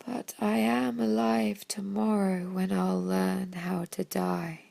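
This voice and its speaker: A woman reads a poetic monologue with theatrical deliberation. Her delivery is slow and broken into short phrases, with a strong creak in a medium-pitched voice. The sound is dry. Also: little pitch movement, dark tone, no echo. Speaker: reader of a theatre piece. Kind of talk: dramatic poetic monologue reading.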